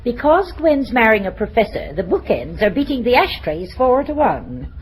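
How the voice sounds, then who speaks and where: An actress playing a dry, matter-of-fact adult aunt in an old radio comedy. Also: female voice, a little high, sing-song, normal speed. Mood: wry. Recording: noisy old radio recording.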